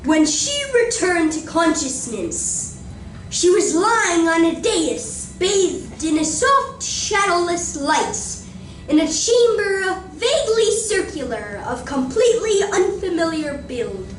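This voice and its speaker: A woman reading scripted narration for a live radio drama in a deliberate, theatrical way. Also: extremely high pitch, very animated. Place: library community room, live performance. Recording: roomy distant recording, faint noise.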